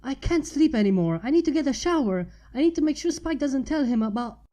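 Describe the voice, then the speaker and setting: A woman's voice: sits high, expressive, brisk, warm tone, pitched above the performer's own voice. A woman voicing an affectionate, anxious young female heroine in a story reading. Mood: anxious, flustered.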